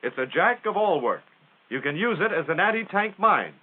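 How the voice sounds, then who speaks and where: A man's voice: high-pitched, sing-song, moderate pace. Tinny 1940s American male training-film narrator. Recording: tinny, band-limited 1940s film soundtrack.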